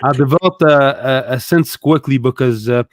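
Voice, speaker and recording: A man's voice: mid-range voice, normal speed, no room sound. A young adult man from Egypt, a guest telling his story in casual conversation, thoughtful and hesitant with many fillers.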